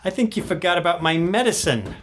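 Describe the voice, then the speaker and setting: A woman's voice: very animated, brisk, slight background noise. A woman doing a taunting vengeful ghost. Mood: menacing. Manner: low, menacing, taunting. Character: medium possessed by dead husband's vengeful ghost. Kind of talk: old-time radio drama acting.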